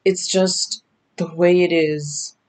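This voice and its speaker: A woman in her thirties, raspy from lack of sleep, giving a slow, emphatic tarot reading to the camera in a small bedroom. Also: on the low side, fairly even intonation, darkish tone. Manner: slow, emphatic, drawn-out warnings. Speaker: tarot reader. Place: small decorated bedroom indoors.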